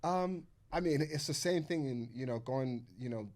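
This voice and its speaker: A man's voice: mid-range voice, moderate pace, darkish tone. A Nigerian-born man with an American accent, talking as a podcast guest in a loose, profane way full of filler phrases. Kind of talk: casual conversation.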